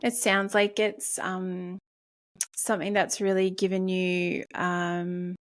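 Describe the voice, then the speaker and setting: A woman's voice: fairly low pitch, flat delivery, slow pace. A woman from Australia hosting a podcast interview, asking questions in a loose, searching way with restarts and fillers. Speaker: podcast host. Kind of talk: casual conversational interview.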